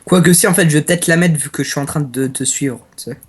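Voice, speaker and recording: A man's voice: fairly high pitch, breathy edge. Stuffy-nosed young man gaming. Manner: slow, hesitant, crude banter. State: stuffy, has a cold. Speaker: gaming guest. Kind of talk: casual gaming chat.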